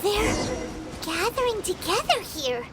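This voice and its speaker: A woman's voice: very high-pitched, very animated, slow, very noisy, full character voice. A woman voicing a tiny, perky, curious fairy girl in a video game, with a cutesy, childlike manner. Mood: puzzled. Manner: cutesy, speaks of herself in third person. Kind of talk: scripted video game voice acting.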